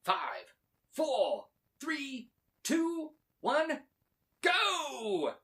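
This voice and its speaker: A man's voice: extremely high pitch, wildly swooping pitch, very slow, bright, forceful tone, voice pushed up, heavily acted. A man voicing a commanding male professor, a museum director, in an emphatic, authoritative storybook manner. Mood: excited countdown.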